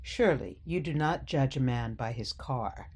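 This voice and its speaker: An older woman playing a sweet but stubborn elderly mother in a radio play, gently chiding and coy. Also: rumbling low, lively intonation, moderate pace, breathy edge, exaggerated voice. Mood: coy, defending.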